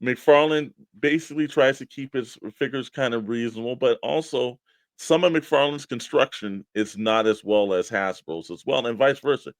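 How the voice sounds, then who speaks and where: A man's voice: medium pitch, moderate pace, no echo, low-quality recording. A man co-hosting a hobby show, talking casually and explaining his opinions at length. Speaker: co-host of a toy collecting show.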